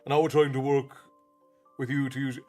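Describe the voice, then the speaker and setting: A man's voice: mid-range voice, flat delivery, a little fast, dark tone. Brief: man in his forties, Americanized Southern Irish accent, folksy stream host with faint music behind. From Ireland. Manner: folksy, thanks chat by name, calls viewers "love". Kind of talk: casual livestream chat.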